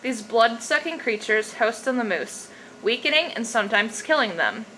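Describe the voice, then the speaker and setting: A woman's voice: on the high side, lively intonation, normal speed, bright, forceful tone. A woman giving a scripted, documentary-style narration. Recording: noisy amateur recording with background ambience.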